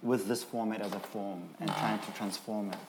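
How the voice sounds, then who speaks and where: A man's voice: fairly low pitch, steady tone, moderate pace, noisy background. A man on a discussion panel, reasoning aloud with frequent ums and restarts. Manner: hesitant, many ums and restarts while reasoning.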